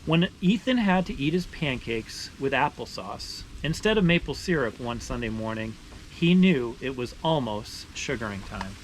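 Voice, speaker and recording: A man's voice: mid-range voice, moderate pace, low-quality recording. Man reading a children's story, background noise. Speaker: storyteller reading a picture book aloud. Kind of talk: children's read-aloud narration. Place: beside a boiling maple sap evaporator. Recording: steady ambient background noise.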